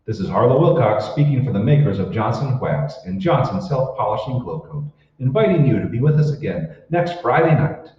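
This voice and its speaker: Man as a lively old-time radio announcer, echoey. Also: medium pitch, lightly acted. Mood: formal, genial. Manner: formal, measured salesmanship. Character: smooth, earnest 1940s radio show announcer. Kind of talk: 1940s radio sponsor announcing. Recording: echoey hall, distant mic, muffled.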